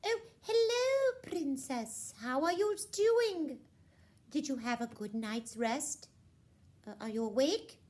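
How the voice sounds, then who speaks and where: A woman voicing a polite, brisk, insistent queen and mother in a children's puppet show. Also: high-pitched, expressive, darkish tone. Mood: cheery.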